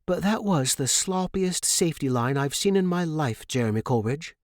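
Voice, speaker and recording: Man voicing a brisk, bossy but caring woman, pitched up. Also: lively intonation, no echo, studio quality, natural voice. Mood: teasing scolding.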